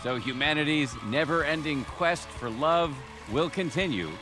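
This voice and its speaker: A man's voice: on the high side, moderate pace. An American man reading scripted TV news narration in a dramatic headline style. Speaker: TV news reporter. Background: clear music bed.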